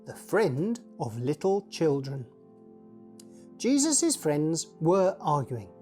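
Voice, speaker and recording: Woman, children's story reader, mellow tone, slow, lilting. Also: low-pitched, very animated, soft background music.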